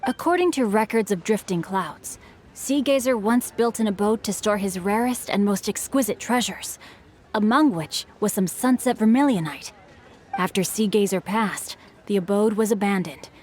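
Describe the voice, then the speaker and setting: A woman's voice: medium pitch, normal speed, darkish tone, close to an everyday voice. A woman voicing a polite, bookish young heir of a merchant family in an anime-style video game, speaking formally and articulately. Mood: thoughtful, helpful. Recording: faint music behind the voice.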